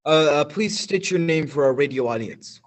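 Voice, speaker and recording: A man's voice: on the high side, animated, moderate pace, close to an everyday voice. A young male student actor playing a nervous radio reporter in a stage radio play, stammering through his questions. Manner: stammering, hesitant.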